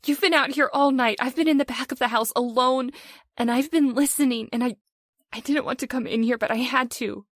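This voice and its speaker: A woman playing a long-suffering middle-aged wife in a radio horror play. Her voice is high and very dry, close to the ear. She speaks with fearful, bitter intensity and pleading emotion. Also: a little fast, dry recording. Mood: frightened, insistent. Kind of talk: old-time radio horror acting.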